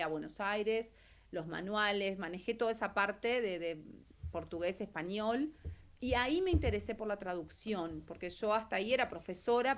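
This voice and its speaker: A middle-aged Argentine woman talks as a guest in a casual interview. Her pitch is average for a woman. She explains things conversationally, often pausing mid-thought before carrying on. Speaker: interview guest, actress and translator. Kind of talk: casual interview, telling career story.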